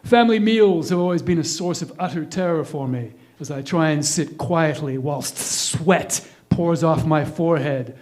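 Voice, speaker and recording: A man's voice: on the high side, moderate pace, few pauses, a little mellow. A man performing a spoken-word monologue live, with a dramatic, darkly sardonic, ornately enunciated delivery.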